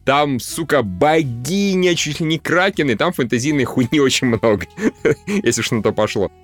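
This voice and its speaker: A man's voice: mid-range voice, lively intonation, moderate pace. A man co-hosting a podcast, discussing films informally and swearing freely. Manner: informal, profane. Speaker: podcast co-host. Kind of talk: casual film discussion. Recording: music bed behind the voice.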